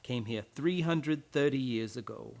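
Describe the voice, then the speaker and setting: An actor playing a grim, blunt old island retainer in a radio play, with rustic, archaic phrasing full of ayes. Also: male voice, medium pitch, a little slow, vocal fry, a little mellow. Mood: grimly storytelling.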